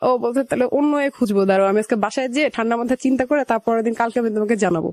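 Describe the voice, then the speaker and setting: A woman's voice: on the high side, rapid-fire, a little mellow, no echo. A young woman with a Bangladeshi accent, telling a personal story conversationally in an interview, slipping into remembered dialogue. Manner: conversational, quotes past dialogue as she narrates. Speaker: guest telling own life story. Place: radio studio.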